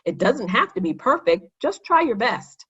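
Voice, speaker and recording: A woman's voice: a little high, big pitch swings, moderate pace, fairly bright tone, husky, heavily acted. Woman reading as an encouraging, enthusiastic storybook schoolboy, slightly muffled.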